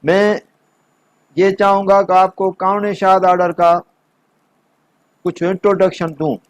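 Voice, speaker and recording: A man's voice: high-pitched, level tone, measured pace. A man with a Pakistani accent giving an instructional law lecture in a methodical, explanatory way. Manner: methodical, explanatory, switching between two languages. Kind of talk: instructional lecture mixing explanation with read-out notes.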